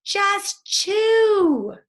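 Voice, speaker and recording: A woman's voice: very high voice, big pitch swings, drawn-out words, voice pushed up, full character voice. A woman voicing an anxious, bossy young boy who gives urgent, emphatic commands in a storybook reading. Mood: urgent, commanding.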